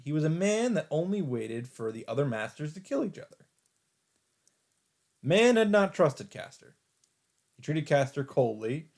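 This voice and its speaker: A man reading a visual novel aloud as a let's play host, in dramatic, expressive narration with deliberate phrasing and pauses. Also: fairly high pitch. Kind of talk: dramatic narration read aloud from on-screen text. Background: background music.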